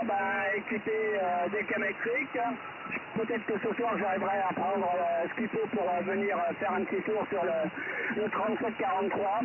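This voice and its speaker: A man talking as an amateur radio operator, hesitant and casual, over a radio link. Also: sits high. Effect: single-sideband radio transmission. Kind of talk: casual ham radio contact with spelled callsigns. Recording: narrow, noisy shortwave-style satellite radio link.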